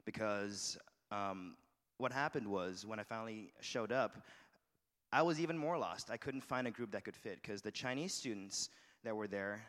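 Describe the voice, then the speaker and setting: A young man from Malaysia who grew up in Shanghai, a student giving an earnest, halting personal testimony to an audience with many restarts. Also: fairly low pitch, lively intonation, a bit bright. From Malaysia, raised in Shanghai. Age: young adult. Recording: long hall reverb.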